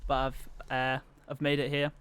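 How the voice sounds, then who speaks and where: A man in his twenties from Wales, appearing as a guest. He talks in a hesitant, modest way and laughs easily. His voice is smooth and clear, at a middle pitch. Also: monotone, moderate pace, fairly bright tone. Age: 20s. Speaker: guest, university student. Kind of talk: casual chat.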